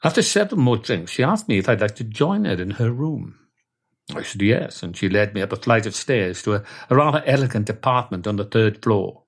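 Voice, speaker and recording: A Welsh man telling a comic first-person story in a deadpan, wordy way. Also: fairly low pitch, a little fast.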